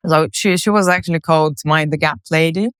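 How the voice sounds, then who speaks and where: A woman with a Bulgarian accent speaks as an interview guest, telling her story conversationally. Her voice is smooth and clear, recorded very dry. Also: deep voice, fairly quick, dry recording. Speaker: podcast guest, company co-founder.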